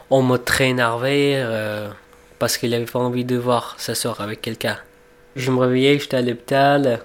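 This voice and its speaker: A man in his twenties from Afghanistan, a cook, telling his life story in a reflective, unhurried way, pausing and restarting as he searches for words. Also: medium pitch. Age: 20s. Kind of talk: personal storytelling for a documentary portrait.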